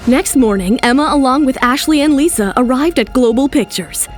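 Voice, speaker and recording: Female narrator, high pitch, scripted dramatic storytelling, audio drama, music bed. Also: animated, normal speed.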